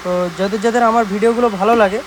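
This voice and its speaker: Young Bengali man over loud background noise. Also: high-pitched, very fast. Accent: West Bengal Bengali. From West Bengal, India. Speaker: speaker repair technician and channel host. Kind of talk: casual product showcase vlog. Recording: loud steady background noise, amateur recording.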